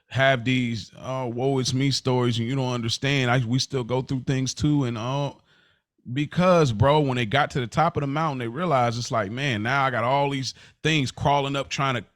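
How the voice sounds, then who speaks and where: A man co-hosting a podcast, talking in a relaxed, rambling way with many restarts. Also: mid-range voice, moderate pace, no room sound, studio quality. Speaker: podcast co-host. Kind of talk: casual chat.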